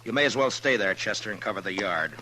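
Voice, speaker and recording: A man's voice: on the low side, lively intonation, quick pace, sharp tone. An actor playing a weary, stern American lawman in a 1950s radio western, speaking in terse, grave lines. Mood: grave, watchful. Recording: noisy 1950s radio recording.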